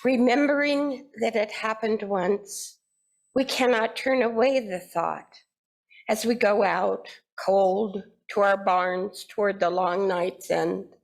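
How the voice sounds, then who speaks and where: Gravelly, unhurried woman reading poetry. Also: mid-range voice, poor audio. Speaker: lay poetry reader.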